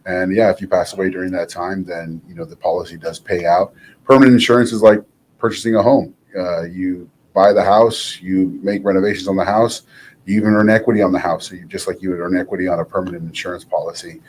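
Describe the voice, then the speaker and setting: Deep-voiced male insurance guest. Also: fairly even intonation, fast talker, darkish tone, slight background noise. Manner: easygoing, explains through analogies. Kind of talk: explaining on a radio show.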